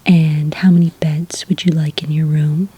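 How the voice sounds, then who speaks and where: A woman playing a friendly, obliging witch desk clerk in a soft-spoken ASMR roleplay, whispering breathily in polite, hesitant customer-service patter. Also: deep voice, level tone, moderate pace, slight background noise, close to an everyday voice, low-quality recording. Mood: calmly helpful.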